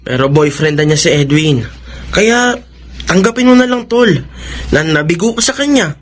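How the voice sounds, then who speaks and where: A man plays a level-headed young buddy in a Filipino radio drama. He speaks frankly and earnestly in a fairly high voice. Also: lively intonation, normal speed, close to an everyday voice. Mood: urging.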